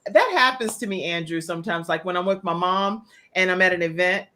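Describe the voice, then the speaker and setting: A woman hosting a live interview show, chatting casually and telling stories in an animated way. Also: lowish voice, a little fast. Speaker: talk show host.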